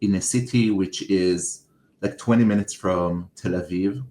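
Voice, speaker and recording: A man's voice: deep voice, unhurried, warm tone, slight background noise. A man from Israel with an Israeli accent, a native Hebrew speaker, telling his story thoughtfully as a podcast guest. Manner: thoughtful, searching for words. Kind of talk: interview storytelling. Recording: roomy echo.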